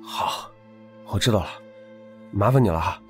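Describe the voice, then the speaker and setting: A man's voice: on the low side, normal speed, faint music behind, lightly acted. A man playing an anxious, polite and insistent young caller in an audiobook drama. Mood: politely urgent.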